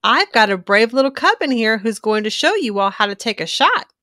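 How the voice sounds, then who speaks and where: A woman voicing a friendly, brisk female bear doctor in a children's storybook, businesslike, encouraging and explanatory. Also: fairly high pitch, big pitch swings, sharp tone, no room sound, clean studio sound. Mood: explaining, encouraging.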